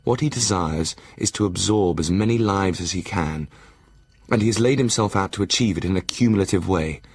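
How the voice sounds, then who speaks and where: A man playing a brooding Victorian asylum doctor, narrating his journal in a measured, formal way for an audio drama. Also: low-pitched, slightly rough. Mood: measured, observant. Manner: measured, formal, literary delivery.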